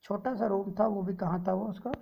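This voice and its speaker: A woman teacher explaining a textbook story to students, reading English lines slowly and then explaining them in Hindi. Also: mid-range voice, steady tone, creaky, dull audio, a little hiss or hum.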